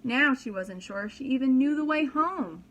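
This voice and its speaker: A woman's voice: high voice, lively intonation, normal speed, a bit bright, muffled recording. A woman reading a children's story aloud as a preschool teacher, dramatically and expressively, with simple explanations for young listeners.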